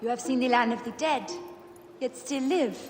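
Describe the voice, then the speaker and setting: An actress playing a calm, reassuring priestess in a video game. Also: female voice, fairly high pitch, normal speed, slight background noise, lightly acted. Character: calm protective adult woman priestess. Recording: echoey hall with music.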